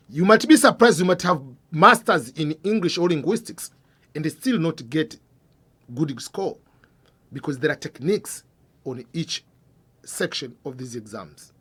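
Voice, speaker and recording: A man hosting a scholarship advice channel, explaining in an earnest, informal way, repeating points and putting questions to the viewer, with his voice dropping low and quiet at the end of phrases. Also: slightly rough. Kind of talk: informal explanatory talk to camera.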